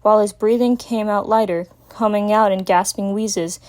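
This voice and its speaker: A woman's voice: medium pitch, steady tone, normal speed, a little mellow. A woman narrator giving a scripted dramatic reading of a story.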